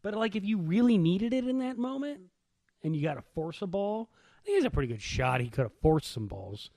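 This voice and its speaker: American male co-host, slightly high voice, very varied intonation, informal joshing sports chat. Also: big pitch swings, a little fast, a little mellow, dry recording, studio quality. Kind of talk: casual chat, sports banter.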